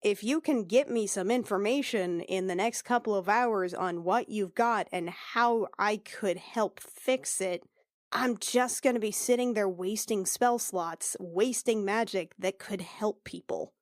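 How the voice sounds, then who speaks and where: Woman as self-doubting princess healer, casual, wry, hesitant, mid-pitched, dry close sound, tabletop game. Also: a little slow, no echo, natural voice. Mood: earnest, unsure.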